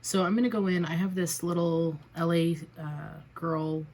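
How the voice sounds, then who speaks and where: A woman vlogger chatting casually through a makeup tutorial, a little raspy from a cold, narrating each step with self-deprecating remarks. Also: on the low side, steady tone, normal speed, a little mellow. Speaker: beauty vlogger.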